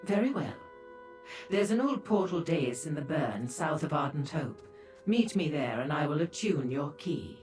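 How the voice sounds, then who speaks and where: A woman's voice: low voice, lively intonation, unhurried, darkish tone, faint music behind. A woman voicing a sly, seductive and haughty female demon dealer in a fantasy video game, with a teasing manner. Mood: haughty, wry.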